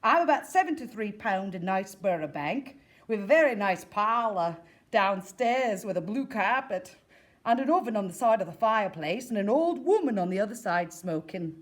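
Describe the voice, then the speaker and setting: A woman's voice: mid-range voice, expressive, moderate pace, natural voice. A woman voicing a timid, earnest middle-aged farmer, hesitant and humble, in a staged comic letter reading. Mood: earnest, homely. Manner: hesitant, humble, plain-spoken rustic.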